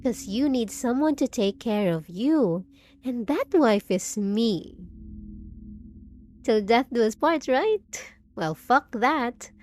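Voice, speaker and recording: A woman's voice: a little high, expressive, slow, darkish tone. A woman voicing a possessive, unhinged undead bride in a roleplay audio drama, speaking with sweet pet names, mock pity and clingy menace. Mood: possessive, gloating.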